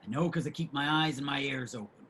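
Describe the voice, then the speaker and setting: An actor playing a brash, boastful, crude homeless ex-sailor with a rough voice in a play reading. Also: male voice, mid-range voice, quick pace, fairly bright tone. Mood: gossiping, mocking. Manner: combative, crude street talker. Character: brash, boastful, gossiping homeless ex-merchant-marine man. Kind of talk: theatrical table reading of a stage play.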